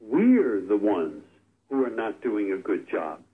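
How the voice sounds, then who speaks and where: A man's voice: fairly low pitch, very animated. Male doctor explaining over a phone line. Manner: measured, explanatory. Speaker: guest vaccine expert on phone. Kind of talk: explaining on a call-in radio show. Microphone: telephone. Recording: tinny phone line on radio.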